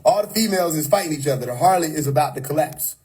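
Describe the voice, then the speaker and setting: Man, husky voice, preachy streamer monologue, emphatic. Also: fairly high pitch, fast, warm tone, low-quality recording. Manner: preachy, emphatic, repeats "you know what I mean". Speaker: livestream host. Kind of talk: unscripted livestream monologue. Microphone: phone or webcam on a live stream.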